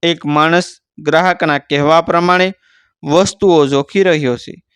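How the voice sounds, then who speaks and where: A Gujarati man tells a traditional moral tale in a high, smooth voice. He narrates in an unhurried, folksy way, describing each detail and repeating phrases for emphasis. The sound is dry and close. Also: steady tone, a bit bright, no room sound. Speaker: devotional storyteller. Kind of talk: narrated moral folk tale, oral storytelling.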